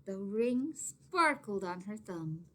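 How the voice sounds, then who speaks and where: A woman reading a children's picture book aloud expressively, pausing to explain and put questions to young listeners. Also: mid-range voice, sing-song, pushed and bright. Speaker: read-aloud storyteller, teacher.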